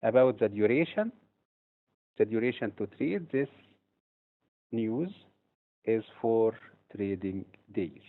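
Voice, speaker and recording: A man's voice: fairly low pitch, slow pace, pauses often. Man presenting over tinny phone-like audio. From Egypt. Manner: steady, explanatory delivery with occasional hesitations. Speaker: trading instructor. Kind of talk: webinar presentation.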